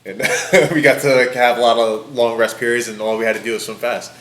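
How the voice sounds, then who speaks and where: Young guy on a podcast, medium pitch, casual storyteller doing quotes, roomy echo. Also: steady tone, brisk, a bit bright. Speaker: podcast speaker, former college swimmer. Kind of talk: casual chat, anecdotes. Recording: roomy, echoing room sound.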